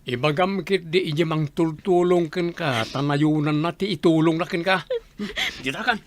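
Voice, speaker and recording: A man's voice: a little high, moderate pace, close to an everyday voice. An irritable, callous husband from a radio drama, played by a man. He scolds curtly and scornfully. Faint noise and clicking sit behind the voice. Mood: scornful, angry.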